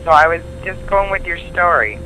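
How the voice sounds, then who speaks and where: A man on a phone call, answering in a plain, deliberate, unruffled manner. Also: a little high, animated, pushed and bright, slight background noise. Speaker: call taker, prank call target. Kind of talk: phone conversation.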